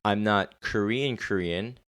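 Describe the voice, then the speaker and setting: A man's voice: on the low side, takes it slowly, a bit bright, smooth, no room sound, clean studio sound. A young adult man hosting a solo podcast, talking about his life in a candid, earnest way and swearing now and then. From United States. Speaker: podcast host. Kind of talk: solo reflective podcast monologue.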